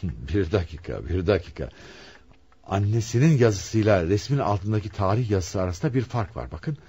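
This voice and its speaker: A shrewd police commissioner in a radio play. His voice is fairly low and slightly husky, with very animated, swooping intonation. He questions people deliberately, probing every detail. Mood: probing, intrigued. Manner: probing, deliberate, theatrically inquisitive. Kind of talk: radio theatre acting.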